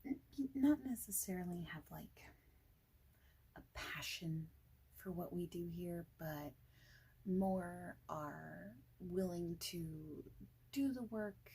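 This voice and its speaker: Woman acting as friendly informal boss, ASMR roleplay, very slow, soft-spoken with whispers, halting, gravelly fry. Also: lowish voice, expressive, exaggerated voice, rough recording. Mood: candid, easygoing. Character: friendly, informal female boss interviewing a new hire.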